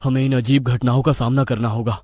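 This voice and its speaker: A man playing a determined young adventurer in a scripted audio story, earnest and deliberate. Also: medium pitch, fairly even intonation, a little mellow, no room sound, close to an everyday voice. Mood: wary.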